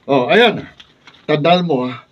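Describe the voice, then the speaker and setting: An actor playing a generous but proud middle-aged visiting cousin, blunt and easily offended, in a Filipino radio drama. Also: male voice, on the high side, lively intonation, slow pace. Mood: indignant. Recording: distant mic, dull lo-fi room recording.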